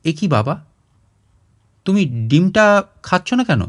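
A man's voice: high-pitched, sing-song, slow, voice pushed up, heavily acted. A man voicing a grave, concerned adult daughter in a story, questioning and scolding insistently. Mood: insistent nagging.

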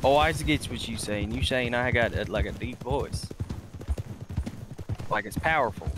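A man in western roleplay who talks loudly and swears freely, with a strong speaking voice. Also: mid-range voice, measured pace, noisy background. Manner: loud, lively, swearing freely. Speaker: roleplay gamer playing a character named William. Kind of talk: in-character western roleplay chat.

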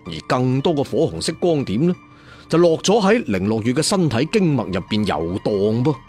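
A male Cantonese storyteller narrates a fantasy serial in a very lively, swinging delivery. He is theatrical, slipping in chatty asides and leaning on his endings. Faint to clear music plays behind him. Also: medium pitch. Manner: theatrical, conversational asides, drawn-out emphatic endings.

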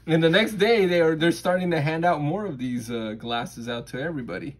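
A man's voice: fairly high pitch, fairly quick. A man co-hosting a comics review show in casual, joking chat, often chuckling as he talks.

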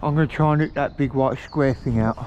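A man casually commenting on his finds while magnet fishing on a canal towpath, in a slow, relaxed way. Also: medium pitch, dull audio, a little hiss or hum. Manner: slow, relaxed, remarks on each find. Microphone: body-worn action camera.

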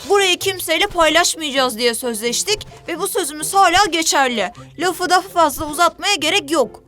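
A woman voicing a stubborn, selfish and bossy young sea creature in a children's cartoon, defiant and quick to argue. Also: very high voice, lively intonation, sharp tone, soft background music, exaggerated voice. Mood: bossy, firm. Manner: defiant, argumentative, quick to take offense.

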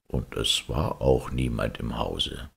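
A man's voice: a bass voice, slow pace, creaky, fairly bright tone, breathy edge. A man narrating a fairy tale expressively as a storyteller.

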